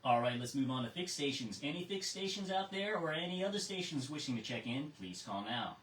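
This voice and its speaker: An American man serves as net control on an amateur radio net. His voice sits a little high, measured and procedural with casual asides. It is picked up from a distance in an echoing room with faint noise. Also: expressive, a bit bright. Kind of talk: reading a net script and chatting with check-ins.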